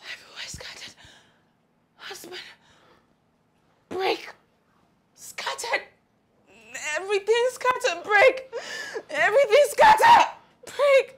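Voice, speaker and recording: An actress playing an unstable, childlike young wife with a Nigerian accent, repeating short phrases obsessively in a film drama. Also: female voice, very high voice, wildly swooping pitch, brisk, sharp tone, whispered, full character voice. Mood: frantic, shrieking.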